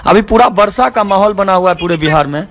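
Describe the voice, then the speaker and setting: A man's voice: sits high, fast, a bit bright. A man with a Bihari accent in an argumentative, insistent phone negotiation, speaking as a show organizer. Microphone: phone call. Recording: phone call recording with faint music.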